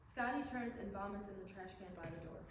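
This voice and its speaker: A woman's voice: mid-range voice, a little fast, lightly acted. A woman reading scripted stage directions as the narrator of a staged play reading. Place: indoor staged reading in an echoey room. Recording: echoey, distant room mic, clear noise.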